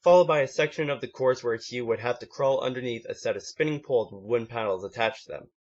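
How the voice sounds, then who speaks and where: Halting amateur male story narrator, muffled sound. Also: mid-range voice. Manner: halting, stumbles over words. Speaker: amateur fan fiction reader. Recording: muffled lo-fi home recording.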